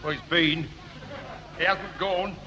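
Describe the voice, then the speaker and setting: An actor playing a bluff, put-upon corporal with an English accent in a 1960s radio comedy. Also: male voice, on the high side, fairly even intonation, slow pace, bright, forceful tone. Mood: puzzled. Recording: hissy 1960s radio recording.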